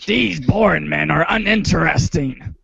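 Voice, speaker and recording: Dude doing bossy bombastic alien warrior, gravelly, cartoony, dull dry audio. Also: male voice, fairly high pitch, a little slow, sharp tone, no echo, exaggerated voice. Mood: scornful. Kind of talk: comic fan impression.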